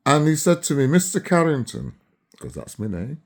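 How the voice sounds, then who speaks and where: A man's voice: a little high, huge pitch swings, normal speed. A man in his seventies, originally from Barbados, talking casually to camera and often checking in with his viewers. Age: 70s. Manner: chatty, keeps checking in with listeners. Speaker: vlogger.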